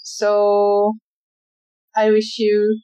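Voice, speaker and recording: A woman hosting a podcast, who introduces her guest and then interviews her, speaking slowly and thoughtfully with frequent pauses. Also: mid-range voice, monotone, warm tone, clear, resonant tone, no echo, low-quality recording. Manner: slow, thoughtful, hesitant with pauses. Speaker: podcast host. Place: roadside cafe on a busy street.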